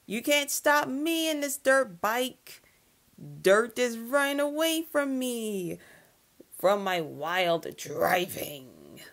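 A woman's voice: on the low side, a bit bright, heavily acted. A woman voicing a brash, hot-tempered young male clan leader in a cartoon character demo, boastful and blustering. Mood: cocky, threatening.

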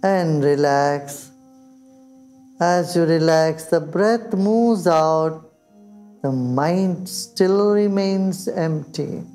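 An older man with an Indian accent guides a meditation in a soft, mellow, high-pitched voice. He speaks in a soothing, unhurried manner and leaves long pauses between phrases. Music plays behind him. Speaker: meditation teacher. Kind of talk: guided meditation in English and Hindi. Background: background music.

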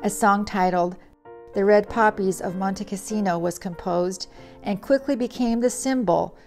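A middle-aged woman reads a craft lesson's voice-over in a measured, earnest way. Her voice carries a gravelly, fry-edged texture at a mid pitch, with quiet instrumental music underneath. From Poland. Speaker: resin craft tutor. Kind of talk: read voice-over narration.

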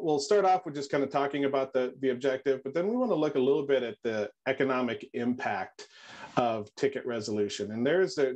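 A man's voice: medium pitch, a little mellow. A middle-aged man presenting in a webinar over a video call, often repeating words as he starts his phrases. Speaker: product marketing presenter.

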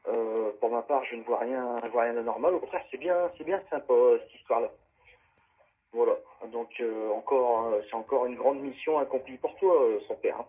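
A man chatting casually over an amateur radio link, heard through a phone loudspeaker. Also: mid-range voice, normal speed, husky, phone-quality sound. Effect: radio link through phone speakerphone. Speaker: amateur radio operator on the other end.

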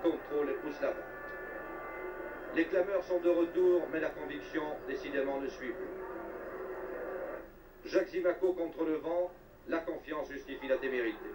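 A man's voice: on the high side, moderate pace, long pauses. A man narrating a scripted football report with dramatic, wry and literary phrasing. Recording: roomy, distant, noisy old TV soundtrack.

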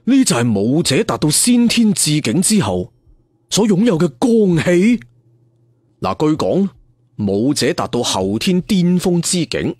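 A man telling a martial arts story in an animated, conversational, dramatic way. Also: fairly high pitch, husky, no echo. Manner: animated, conversational, with spoken sound effects.

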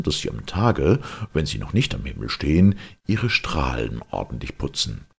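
A man with a gravelly, husky voice narrating a children's fairy tale as an audiobook reader, in an expressive, measured storytelling way. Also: very deep voice.

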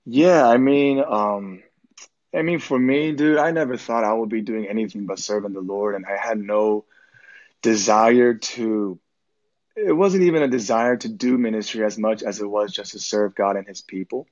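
A man's voice: fairly low pitch, moderate pace, darkish tone. Earnest young man, slightly dull audio. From Korea. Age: 20s. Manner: earnest, easygoing, says "dude" and "man". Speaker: minister. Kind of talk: casual conversation.

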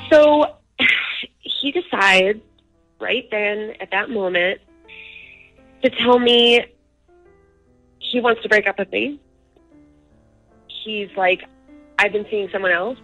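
A woman's voice: mid-range voice, slow pace, long pauses, a bit bright, soft background music, low-quality recording. A young adult woman caller telling a personal story casually, peppering it with "like". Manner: conversational, frequent "like".

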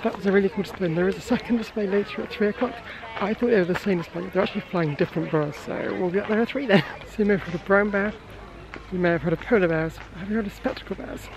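A man's voice: sits high, fast talker, low-quality recording. A man. He chats casually to a camera held at arm's length while out and about in the open air, as a vlogger does. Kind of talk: casual vlog chat to camera. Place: outdoors at a zoo farm. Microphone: handheld camera at arm's length.

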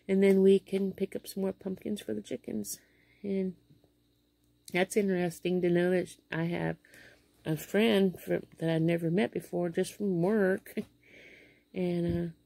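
Midwestern lady in her 50s chatting to her phone camera, kinda low and gravelly, dry close sound, lots of uh and restarts. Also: warm tone, no room sound. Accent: Midwestern American. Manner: rambling, full of fillers and restarts. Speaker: vlogger. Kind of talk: casual storytelling vlog. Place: small bedroom indoors. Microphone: phone camera held close below face.

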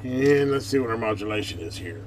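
Male repair-channel host, folksy and informal, lively low voice, recorded off-mic with clear background noise. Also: a little fast. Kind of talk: casual hands-on bench demonstration. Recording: distant mic, noisy with loud hum.

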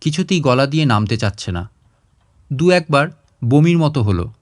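A man narrating a literary short story in an expressive audiobook style. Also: medium pitch, moderate pace.

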